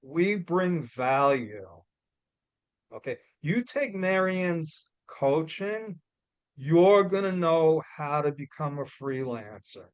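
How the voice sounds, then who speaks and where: A man with a New York accent speaks loudly and bluntly, with emphatic motivational punch. He talks slowly, and his voice is a little high for a man. The sound is dry and close, with almost no room echo. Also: lively intonation, clean tone, dull audio. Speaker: guest speaker. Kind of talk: motivational talk.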